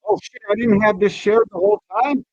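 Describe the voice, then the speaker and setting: A man's voice: high-pitched, brisk, a little mellow. A man hosting a podcast livestream, ranting casually and bluntly with heavy swearing and complaints. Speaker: podcast host. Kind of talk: casual livestream rant, profane. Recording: dull, muffled lo-fi stream audio.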